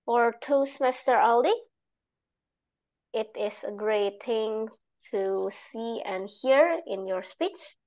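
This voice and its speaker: A young woman with an Indonesian accent giving halting, simply phrased speech feedback as an evaluator on a video call. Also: a little high, a little mellow, muffled recording. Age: young adult. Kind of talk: spoken speech feedback at a club meeting.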